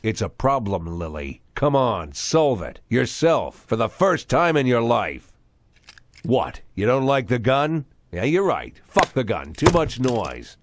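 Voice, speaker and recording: A man's voice: medium pitch, sing-song, slow, a little hiss or hum. A middle-aged man playing a bitter, crooked former police chief in game drama, sarcastic and menacing. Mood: cold, menacing. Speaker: voice actor in game cutscenes. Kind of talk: dramatic scripted dialogue.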